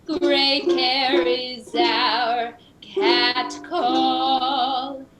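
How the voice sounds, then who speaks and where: A woman's voice: sits high, little pitch movement, very slow, full character voice, rough recording. A woman playing a chirpy, playful announcer in a parody radio commercial, singsong and rhyming. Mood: perky. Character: chirpy singsong jingle announcer for a pet clinic. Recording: loud music under the voice.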